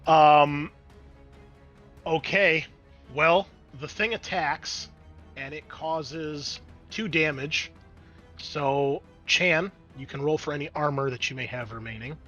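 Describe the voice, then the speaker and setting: Bright-voiced man running a tabletop game. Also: on the high side, slow, lo-fi sound. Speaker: game master. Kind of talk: narrating and refereeing a tabletop roleplaying game. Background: background music.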